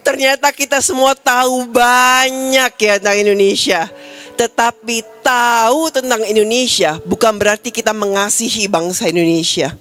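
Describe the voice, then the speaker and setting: A woman preacher giving a sermon in a church hall, lively and coaxing the congregation directly. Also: on the high side, normal speed, soft background music. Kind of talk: sermon with audience games.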